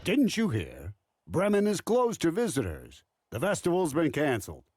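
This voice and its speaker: Curt, animated city guard. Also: male voice, fairly high pitch, moderate pace, slightly rough, dry recording, exaggerated voice. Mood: dismissive. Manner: curt, officious. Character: brusque, dismissive male city gate guard. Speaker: guard character. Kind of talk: video game cutscene acting.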